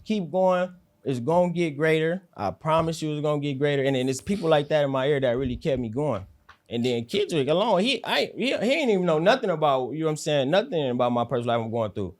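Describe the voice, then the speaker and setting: A man with a fairly high-pitched voice and a South Central Los Angeles accent. He talks as an interview guest in a casual way, heavy on slang and filler tags as he shares personal stories. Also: animated, fast. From South Central Los Angeles, USA. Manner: slangy, repeats "you know what I'm saying".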